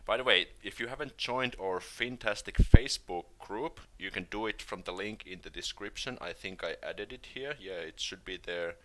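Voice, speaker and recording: A Finnish man with a Finnish accent, hosting a casual live stream and answering viewer questions in a relaxed, hesitant way, with many fillers and restarts. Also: deep voice, moderate pace, hardly any pauses. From Finland.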